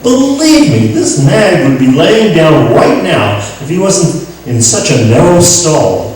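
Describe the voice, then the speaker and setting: A man's voice: a little high, big pitch swings, slow pace, a little mellow. A man playing a folksy, obliging racehorse trainer in an old-time radio comedy, polite and a bit hesitant. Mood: puzzled, obliging. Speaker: radio play actor. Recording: very echoey hall, distant, faint noise.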